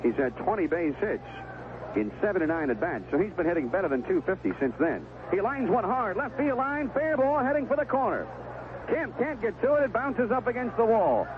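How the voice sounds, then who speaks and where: An American man calling baseball play-by-play on the radio, in clipped phrases with conversational asides between pitches. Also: big pitch swings, a little fast. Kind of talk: live sports commentary. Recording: vintage 1970s AM radio broadcast, tinny, noisy.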